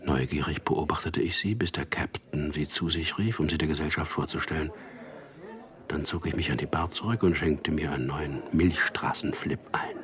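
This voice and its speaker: An actor playing a drugged, tormented prisoner in a radio drama, narrating his memories in a gravelly, breathy, half-whispered inner monologue, brooding and bitter. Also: rumbling low, moderate pace, fairly bright tone. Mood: brooding recollection.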